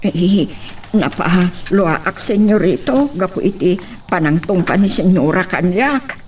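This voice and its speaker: A meek servant speaks hesitantly and whines with deference. It is radio drama acting, a little distant from the mic, with faint noise and insects. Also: male voice, sits high, expressive, unhurried. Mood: fearful, whining. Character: meek, fearful, mistreated servant.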